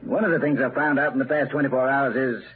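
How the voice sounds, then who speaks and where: An actor playing a gruff older police inspector who interrogates bluntly in a 1930s radio drama. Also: male voice, medium pitch, steady tone, fast talker, soft background music. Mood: accusing. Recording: tinny, noisy 1939 radio broadcast.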